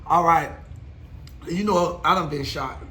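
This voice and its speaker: Young dude joking with his pops, high and bright, echoey room. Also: measured pace. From Miami. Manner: playful, laughing, teasing questions. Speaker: son interviewing his father. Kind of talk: casual chat. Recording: echoey room, faint noise.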